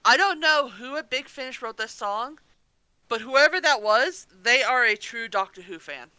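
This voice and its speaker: Woman, fairly high bright voice, dry close sound, casual podcast talk. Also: animated, moderate pace, clean tone, no echo, rough recording. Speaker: podcast co-host reviewing an audio drama. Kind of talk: casual chat.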